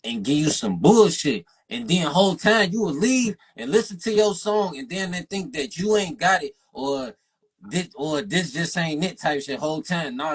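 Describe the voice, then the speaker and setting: A man's voice: on the high side, slightly rough, poor audio. A young man hosting a radio interview, talking loose and slangy with lots of "you feel me". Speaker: radio show host interviewing an artist. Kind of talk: casual interview chat.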